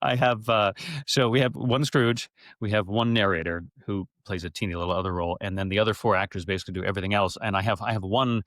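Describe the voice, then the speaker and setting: A man with a fairly low voice talks casually as an interview guest. He tells things in an animated, effusive way. The sound is dry, with very little room in it. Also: quick pace, no echo. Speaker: interview guest, theater actor and radio-theater adapter.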